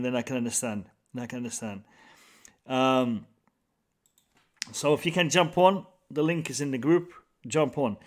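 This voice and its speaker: An upbeat man chats on a live stream, his voice a touch higher than most men's. He sits close to a big studio mic in a small room at home. He keeps shouting out viewers by name and repeating himself. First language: Arabic. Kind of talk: casual live-stream chat. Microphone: large studio mic on a boom, headphones.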